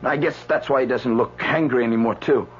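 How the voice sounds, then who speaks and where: A man's voice: medium pitch, brisk, natural voice. An actor in a radio drama playing an anxious, rattled doctor, hesitant and repeating himself as he talks. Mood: nervous, deferential. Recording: dull old radio broadcast, faint hum.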